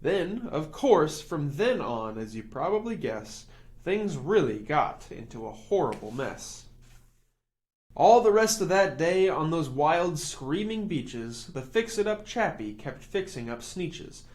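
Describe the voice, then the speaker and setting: A man reading a rhyming picture book to children, expressive and rhythmic, as the host of a storytime. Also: on the high side, slow.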